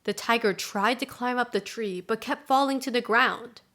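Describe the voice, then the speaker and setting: A woman's voice: a little high, moderate pace, a bit bright. A woman storyteller reading a scripted folktale narration.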